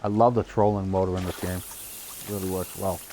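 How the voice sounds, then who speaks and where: Casual young male streamer, Boston accent, lowish pitch, chatty and excitable, faint background hiss. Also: a little fast. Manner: chatty, excitable at good catches, laughs often. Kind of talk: casual live gameplay commentary.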